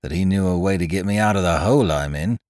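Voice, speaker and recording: A man reading aloud, voicing a hard-up young gentleman who talks in a gloomy, rueful, chatty way. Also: low-pitched, fast, no room sound, studio quality, pitched below the performer's own voice, natural voice.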